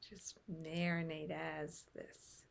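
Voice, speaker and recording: Female meditation guide: lowish pitch, gravelly fry, soothing and gentle. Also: completely flat delivery, slow pace. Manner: gentle, soothing, with soft breathy phrase endings.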